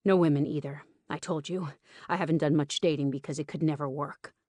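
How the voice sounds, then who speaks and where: Woman voicing a guarded, low-voiced man confiding haltingly. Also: fairly even intonation, a little mellow, no echo, studio quality. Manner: cautious, reluctant, emphasizing words. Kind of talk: audiobook character dialogue.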